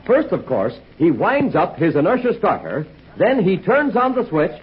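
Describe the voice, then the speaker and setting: A man announcing a 1940s radio commercial in a chummy, persuasive sales pitch. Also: wildly swooping pitch, normal speed, a little hiss or hum. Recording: dull old 1940s radio recording.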